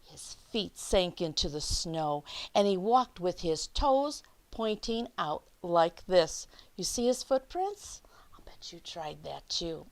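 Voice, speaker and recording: A woman storyteller reading a picture book aloud to children, expressively, stretching key words, dropping into hushed asides and putting questions to young listeners. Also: lowish voice, animated, slow pace.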